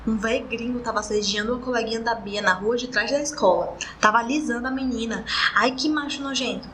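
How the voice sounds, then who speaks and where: A woman's voice: on the high side, fast, fairly bright tone, slight background noise, lightly acted. A woman playing an indignant young girl in a radio soap, with a Northeastern Brazilian accent and colloquial, exclamatory delivery. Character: indignant young girl named Letícia, shocked, grateful cousin.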